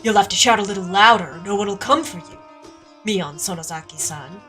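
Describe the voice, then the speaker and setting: A woman playing a calm, menacing man who speaks with mocking politeness. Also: medium pitch, a little fast, faint music behind, lightly acted.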